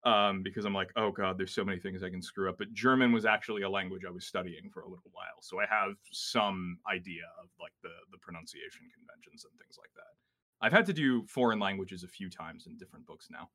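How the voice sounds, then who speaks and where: Lively, low-voiced male audiobook narrator. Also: fairly quick. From United States. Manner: lively, self-deprecating, laughs often. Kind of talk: casual podcast chat.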